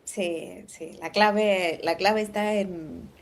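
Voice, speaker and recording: A woman from Argentina answering as a podcast guest in a relaxed, soft-spoken way. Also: mid-range voice, extremely slow, slight background noise. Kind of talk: casual interview answers.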